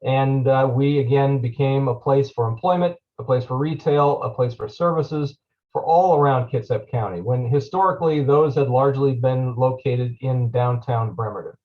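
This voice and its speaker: A man's voice: medium pitch, moderate pace, highs cut off. A man who is a deputy county administrator, giving a loose presentation at a public meeting with many ums and uhs. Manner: frequent fillers, loose and conversational.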